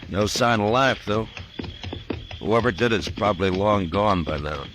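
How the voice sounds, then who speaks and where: An actor playing a stern, level-headed American frontier marshal in an old radio drama, terse and commanding. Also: male voice, on the low side, a little slow, background noise, close to an everyday voice. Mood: wary, authoritative.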